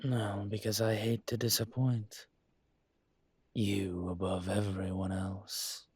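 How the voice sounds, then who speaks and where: A man playing a suave, courteous dragon mafia boss in an intimate roleplay audio, with a husky, breathy voice and a gentle, teasing formality. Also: on the low side, flat delivery, slow, no echo. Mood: warm, sincere.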